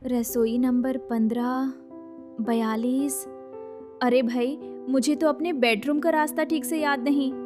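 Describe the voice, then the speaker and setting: Casting: overwhelmed young new bride played by a woman, very high voice, unhurried, sassy, cartoon family story. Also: fairly even intonation, over music. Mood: flustered. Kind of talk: Hindi cartoon story voice acting.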